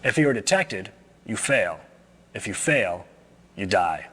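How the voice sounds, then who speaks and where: An actor playing a smug, menacing right-hand man of a cult leader in a game cutscene, deliberate and condescending. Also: on the low side, very animated, background noise. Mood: condescending, threatening. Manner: deliberate, condescending, coolly threatening. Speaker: villainous mission briefer. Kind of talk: video game cutscene acting.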